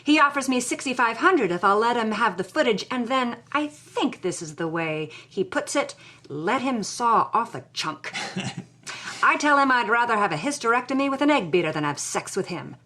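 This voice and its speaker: A sharp, bright-voiced, sassy bombshell. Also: female voice, on the high side, expressive, moderate pace, a little hiss or hum. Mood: scornful, amused. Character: sassy, hard-boiled 1950s bombshell woman, cool and defiant. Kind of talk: first-person noir-style storytelling.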